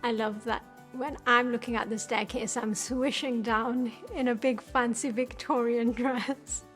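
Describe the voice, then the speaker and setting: A woman's voice: on the high side, steady tone, measured pace, a bit bright, faint music behind. A woman in her late twenties sharing fond museum memories with light hesitations and giggles. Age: late 20s. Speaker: interviewee. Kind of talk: casual reminiscing.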